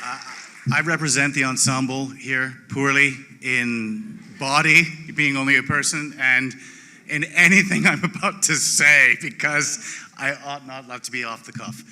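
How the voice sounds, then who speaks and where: Very bright-voiced man, halting thank-you speech. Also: mid-range voice. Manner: halting, self-deprecating. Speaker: actor accepting award. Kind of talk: off-the-cuff acceptance speech. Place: theatre stage. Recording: echoing theatre hall.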